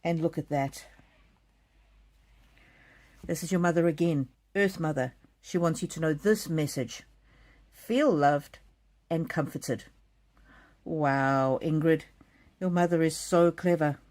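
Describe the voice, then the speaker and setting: Low, mellow-voiced woman reading oracle cards. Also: slow pace, pauses often. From South Africa. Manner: gentle, soothing, talks straight to viewers by name. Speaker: card reader. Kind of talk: live oracle card reading.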